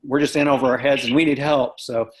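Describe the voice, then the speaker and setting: A man's voice: medium pitch, fairly even intonation, fast talker, a crowd in the background, poor audio. An American man giving an informal, matter-of-fact report over an online conference call. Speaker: community task force member. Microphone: video call microphone.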